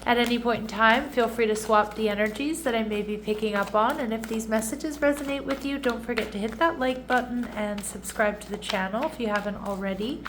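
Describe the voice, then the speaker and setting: A woman's voice: a little high, a little fast, hardly any pauses. A woman talking through a tarot reading in an easy, chatty way, her voice a little nasal from congestion. She often restarts and loops back mid-sentence. A faint hum of ambience sits behind her. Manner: chatty, warm asides, frequent restarts. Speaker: tarot reader.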